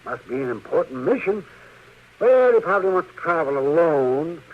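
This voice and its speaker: An actor playing a nosy, teasing town doctor in a radio western, with a raspy, needling voice. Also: male voice, big pitch swings, normal speed. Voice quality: high, raspy. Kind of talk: 1950s radio western acting. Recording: dull old radio recording.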